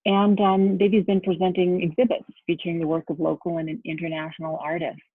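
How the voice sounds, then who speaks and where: A woman's voice: lowish voice, little pitch movement, fairly quick, husky, no room sound. A woman hosting a video call interview as an arts center director, curious and stumbling a little over her words. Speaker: host, artistic director. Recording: tinny phone-like audio.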